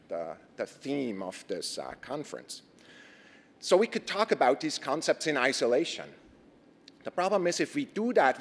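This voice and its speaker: A man with a Swiss accent giving an earnest conference talk, posing rhetorical questions and pausing on fillers. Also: medium pitch. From Switzerland. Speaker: conference presenter. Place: conference stage. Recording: reverberant lecture hall.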